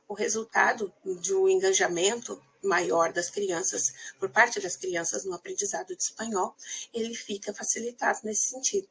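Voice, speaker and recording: A woman's voice: mid-range voice, gravelly, slightly rough, rough recording. A young woman presenting a research text for a class, hesitant, with many fillers and restarts. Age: young adult. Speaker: student presenter.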